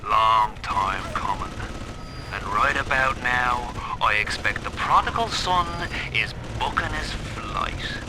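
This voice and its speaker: An actor playing a bitter, gloating con artist and crime boss talking cynically into a video game audio diary. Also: male voice, mid-range voice, animated, slow pace. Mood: gloating menace. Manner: gloating, cynical tough-guy talk. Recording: noisy old audio diary.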